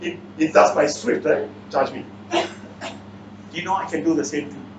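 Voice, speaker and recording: A man's voice: fairly high pitch, measured pace. Echoey Malaysian or Singaporean male seminar speaker. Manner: blunt, interactive, repeatedly challenges listeners with questions. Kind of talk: motivational seminar talk, quizzing the audience. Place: indoor seminar room. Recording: echoey room, distant mic, muffled, faint noise.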